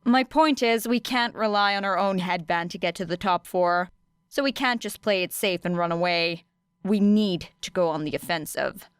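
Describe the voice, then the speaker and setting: A woman's voice: fairly low pitch, moderate pace, smooth, no echo, clean studio sound, lightly acted. A woman voicing a brash, teasing teenage girl student with blunt, sarcastic delivery in an audiobook. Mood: irritated. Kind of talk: audiobook character dialogue.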